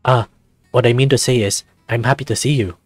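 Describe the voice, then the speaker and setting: A man voicing a shy, gentle young male deer character in a visual novel, speaking softly and hesitantly. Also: medium pitch, fairly even intonation, a little fast, dry recording, soft background music, natural voice.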